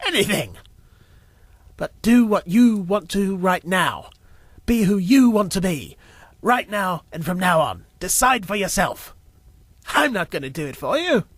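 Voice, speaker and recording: A man's voice: sits high, very animated, a little slow, no room sound, pitched above the performer's own voice, full character voice. A man voicing an eccentric, mischievous old man in an audio story, theatrical and full of teasing exclamations. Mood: urgent, exhorting. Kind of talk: audio story character acting.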